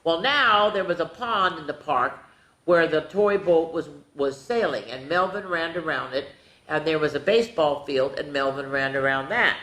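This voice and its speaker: A woman hosting a children's story time, reading a storybook aloud in an animated, playful way with dramatic asides to the young listeners. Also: sits low, normal speed. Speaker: children's storyteller, show host. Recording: roomy, echoing indoor sound.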